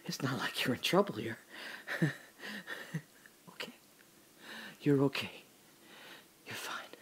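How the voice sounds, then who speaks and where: An actor playing a terrified, confused man trapped alone in darkness, talking to himself in breathy fragments in an audio drama. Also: medium pitch, very animated, slow pace, a bit bright, whispered. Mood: hushed, anxious self-calming.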